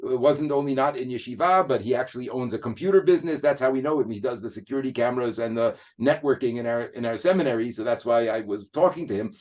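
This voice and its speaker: A man's voice: mid-range voice, fast, few pauses, a little mellow. A man speaking as an interview guest in a casual, earnest way, stopping and restarting his sentences often. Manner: hesitant, many restarts and fillers, earnest.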